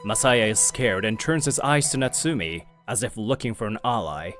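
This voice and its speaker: A man's voice: fairly low pitch, expressive, moderate pace, a bit bright. A young company president telling his own story, as a man narrates it. His mid-range voice moves up and down a great deal, with faint music underneath. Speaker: narrator and lead figure. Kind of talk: manga video narration.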